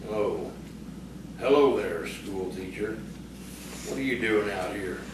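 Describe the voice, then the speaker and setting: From far off in a noisy, reverberant space, a man plays a brash young horseman in a radio-style stage drama. The voice is fairly low, with a mocking, teasing swagger. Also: takes it slowly. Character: brash, mocking young country horseman, local rival suitor. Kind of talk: audio theatre acting. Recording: distant, very echoey, noisy stage recording.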